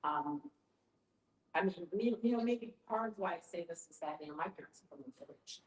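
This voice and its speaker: Lower-voiced woman in an echoey room. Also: lively intonation, brisk. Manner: conversational, thinking aloud. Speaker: discussion group participant. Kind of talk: reflective group discussion. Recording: echoey room with background noise.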